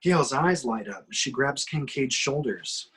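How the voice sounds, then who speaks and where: A man's voice: mid-range voice, lively intonation, measured pace, a bit bright, slightly rough. A man reading scripted action descriptions from a screenplay at an amateur table read.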